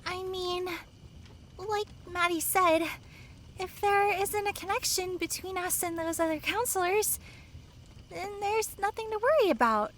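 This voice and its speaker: A woman's voice: very high-pitched, measured pace, a bit bright, a little hiss or hum. A woman playing a bubbly, easygoing young female camp counselor in an audio drama, chirpy, casual and girlish.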